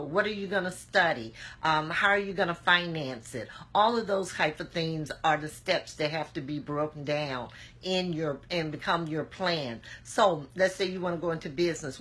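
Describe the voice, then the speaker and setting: A woman, hoarse from a cold, giving an earnest, encouraging motivational talk to camera with plenty of filler "um"s. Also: fairly low pitch, a bit bright. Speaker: motivational vlogger.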